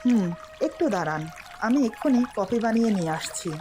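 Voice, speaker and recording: A woman voicing a shy, loving young newlywed wife in a romantic story, coy and gently chiding. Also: fairly high pitch, lively intonation, normal speed, faint music behind, natural voice. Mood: gently playful.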